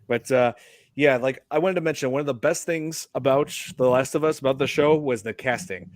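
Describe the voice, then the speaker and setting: A man's voice: medium pitch, brisk, no echo, studio quality. A man in his thirties hosting a casual podcast, rambling with lots of fillers. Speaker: podcast host.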